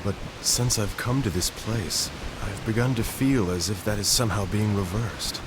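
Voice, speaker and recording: An actor playing an ailing young male composer in a game cutscene, speaking softly and reflectively. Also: fairly low pitch, moderate pace, natural voice. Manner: soft, reflective, searching delivery. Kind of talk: video game cutscene dialogue. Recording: hissy game audio capture.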